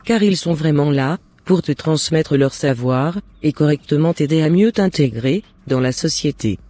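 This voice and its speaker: A man's voice: fairly high pitch, lively intonation, normal speed, dark tone, faint music behind. A man playing a loving, devout mother in a cartoon, giving earnest and encouraging advice in formal words. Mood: gently advising. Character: devout, loving mother advising her son. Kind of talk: scripted cartoon dialogue.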